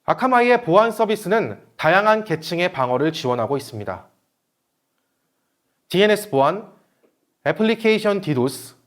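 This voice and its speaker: A man giving a scripted technical presentation in a formal, read-out manner, as a seminar presenter. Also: high-pitched.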